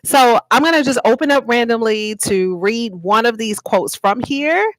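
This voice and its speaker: A woman's voice: on the high side, expressive, moderate pace, no room sound, rough recording. A woman talking informally to livestream viewers as an author and host, explaining things and inviting them to respond. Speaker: author and host of a live read-aloud.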